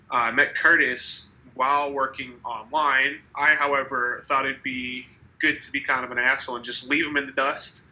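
A man's voice: medium pitch, bright, forceful tone. Young man, twenties, congested nasal voice, rambling podcast host, dull lo-fi audio. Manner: rambling, restarts, laughs easily. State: slightly congested, nasal from a cold. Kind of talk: casual livestream podcast chat.

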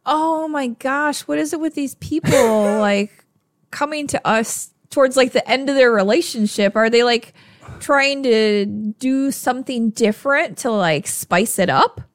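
A woman's voice: high-pitched, measured pace, no room sound, clean studio sound. A woman with a northern Upper Midwest American accent, co-hosting a casual podcast, candid and profane, with frequent filler words. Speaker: podcast co-host.

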